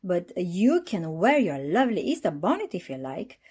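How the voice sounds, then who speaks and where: A woman's voice: lowish voice, very animated, normal speed, dark tone, slightly rough. A woman voicing a kindly gazelle playgroup teacher in a storybook, encouraging and reassuring.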